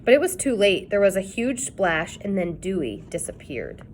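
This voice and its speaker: A woman reads a children's chapter book aloud, telling it in an expressive way. Her voice is lively, rising and falling a lot through the narration. Her pitch sits in a middle range. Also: moderate pace, slight background noise. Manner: expressive storytelling. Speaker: reader.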